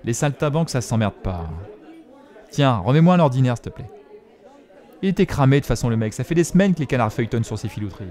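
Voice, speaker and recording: A man's voice: mid-range voice, lively intonation, quick pace, a little mellow, lightly acted. A man acting in an audio drama as an opinionated bar regular, commenting on the news in a colloquial, gossipy way. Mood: gossipy, scornful. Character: chatty, opinionated bar regular reading the paper aloud. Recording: busy bar chatter behind.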